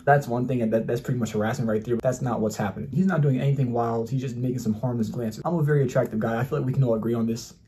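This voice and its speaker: A young man rattles off casual reaction commentary at a very rapid clip, boasting and mocking as he goes. His voice sits on the dark, mellow side. He sits close to the camera in a small indoor room. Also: mid-range voice, steady tone. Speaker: commentary YouTuber.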